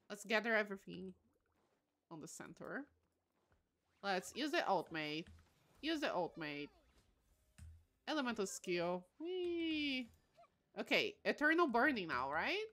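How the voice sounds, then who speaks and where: A woman's voice: medium pitch, sing-song, takes it slowly, long pauses, sharp tone. A woman in her late twenties, a bit tired, chatting casually and candidly as she livestreams a game, giggly and self-deprecating. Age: late 20s.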